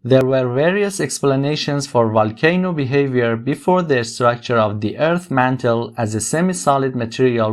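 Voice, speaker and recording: A man giving a scripted read-aloud of an exam practice passage. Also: mid-range voice.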